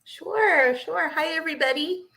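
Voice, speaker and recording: Female American guest, bright forceful voice, chatty storytelling delivery. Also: very high voice, a little slow. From southern New Hampshire, United States. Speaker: guest, realtor. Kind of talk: interview storytelling.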